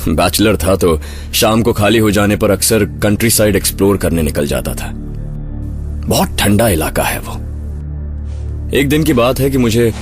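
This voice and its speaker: A man playing a haunted male survivor telling his story in an audio horror drama, dramatic, halting and fearful. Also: lowish voice, lively intonation, lightly acted. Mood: reflective, uneasy. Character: haunted male survivor recounting a terrifying snowy night. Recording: loud music under the voice. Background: loud background music.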